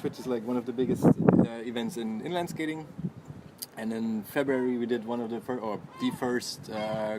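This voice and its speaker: A man with a mid-pitched voice moderates an informal panel at a live event. Loud ambience and vehicle noise run behind him. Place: live panel discussion at a sports festival.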